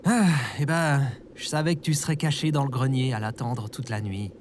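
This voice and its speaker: A man's voice: mid-range voice, normal speed, a little hiss or hum, close to an everyday voice. A man playing a skeptical, exasperated everyman in an audio comedy, with a dry manner. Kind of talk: audio fiction comedy acting.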